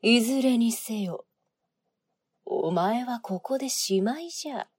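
A woman's voice: fairly high pitch, lively intonation, a little slow, darkish tone, breathy edge, no echo. An actress playing a sinister, seductive woman agent in a period audio drama, taunting in courtly archaic phrasing. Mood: cold menace. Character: sinister seductive noblewoman agent, archaic speech, mocking, menacing.

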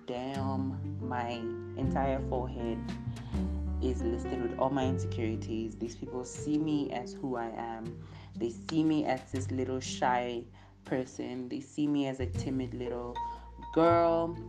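A woman speaking candidly in a casual motivational monologue, acting out what people say. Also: a bass voice. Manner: candid, animated, acts out quoted remarks. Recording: music playing underneath.

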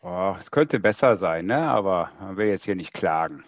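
A man's voice: low-pitched, expressive, moderate pace. A man co-hosting a podcast in casual chat, with a dry, joking manner. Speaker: podcast co-host.

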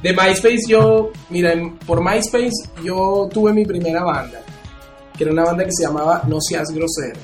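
A man in his late twenties, a musician guest, talking excitedly and laughing often. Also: sits high, moderate pace, roomy sound, soft background music. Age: 20s. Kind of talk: casual podcast chat.

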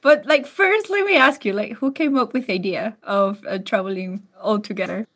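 A woman's voice: medium pitch, moderate pace, fairly bright tone. A woman hosting a podcast, introducing the show and a guest in a friendly, upbeat way. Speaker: podcast host. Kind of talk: podcast interview. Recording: faint music behind.

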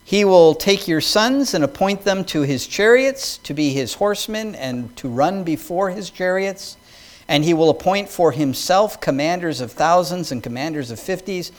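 A man preaching a sermon to his congregation, building emphasis as he reads scripture aloud. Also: on the high side. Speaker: pastor. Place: church hall with congregation.

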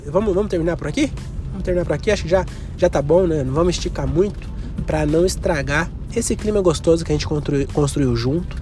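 A young man hosting a solo podcast, rambling and joking with crude slang, coughing from a cold. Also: a little high. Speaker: podcast host. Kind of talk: solo comedic podcast monologue.

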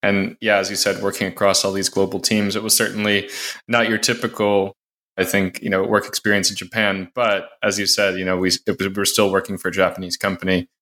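A man interviewing a guest, asking measured questions and giving frequent short affirmations. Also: deep voice, level tone, a bit bright.

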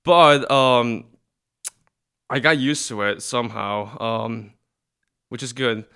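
A man's voice: mid-range voice, slow, sharp tone, smooth. A young man from South Korea, a guest chatting casually, hesitant with many restarts. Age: young adult. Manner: hesitant, many restarts and fillers, laughs often. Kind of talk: casual chat.

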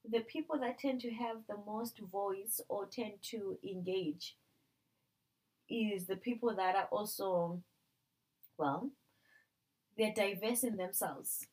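A woman's voice: medium pitch, takes it slowly, pauses often, low-quality recording. A woman from Canada in a casual conversation, talking animatedly and using playful examples. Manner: animated, uses playful examples and acted-out quotes.

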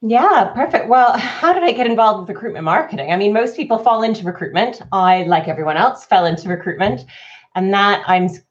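A woman in her forties with a Canadian accent, slightly softened by years in the UK, speaking as a marketing consultant guest in a webinar interview, fluent and animated in long explanations. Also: medium pitch, fairly quick. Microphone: video call. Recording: lo-fi webinar audio.

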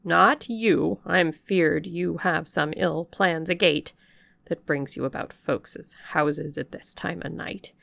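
A woman voicing a blunt, suspicious old female servant who speaks in a broad with a gruff, distrustful manner. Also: low voice, slow pace, fairly bright tone, no echo, clean studio sound, exaggerated voice. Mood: suspicious, stern. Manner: gruff, distrustful, plain-spoken.

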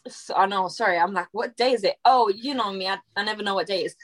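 A woman's voice: mid-range voice, fast. A woman in her mid-twenties talking in a chatty, scatterbrained way in a casual group chat. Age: 20s.

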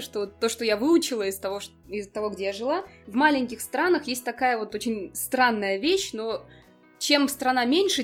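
A young woman in a casual conversation, explaining at length with lots of fillers. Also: a little high. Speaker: interview guest.

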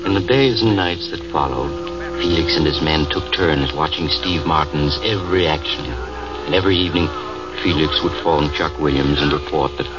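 Very deep-voiced radio drama narrator. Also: male voice, moderate pace, distant mic. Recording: dull old radio recording with music behind.

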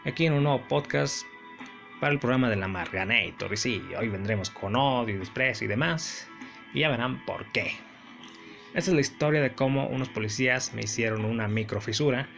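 Mexican man with a gravelly, creaky voice. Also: mid-range voice, a bit bright. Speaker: podcast host. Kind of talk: casual solo storytelling podcast.

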